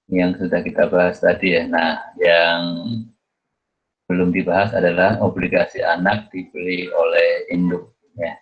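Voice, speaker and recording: Male lecturer, deep voice, halting and repetitive explainer delivery, dull lo-fi recording. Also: flat delivery. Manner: halting, repetitive, punctuates phrases with a checking tag. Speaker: accounting lecturer. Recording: dull, muffled lo-fi audio.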